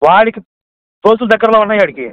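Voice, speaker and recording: Man, very fast talker, furious and combative, phone debate, dull dry call audio. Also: sits high, lively intonation, no echo. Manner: angry, aggressive, abusive, interrupting. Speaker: caller in a heated phone debate. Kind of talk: heated argument on a phone call.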